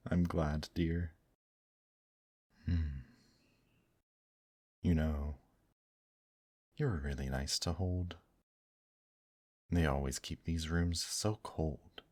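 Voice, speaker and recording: A man voicing a gentle, teasing male demon in an intimate ASMR roleplay, speaking softly and courteously to the listener. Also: rumbling low, no room sound, clean studio sound, full character voice. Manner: slow, soft, courteous, affectionate teasing with endearments. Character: gentle, teasing male demon brothel worker, tender and attentive.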